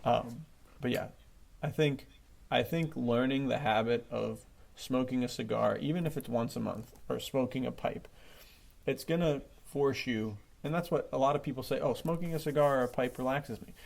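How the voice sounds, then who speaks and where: A man co-hosting a podcast in casual, informal chat, jokey and quick to chuckle. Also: medium pitch, vocal fry, darkish tone. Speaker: podcast co-host.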